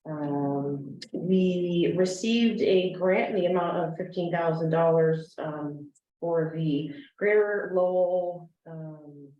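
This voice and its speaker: A woman giving a finance report at a meeting, talking haltingly with many fillers. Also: fairly low pitch, highs cut off. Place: meeting room. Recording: echoey room, far from mic.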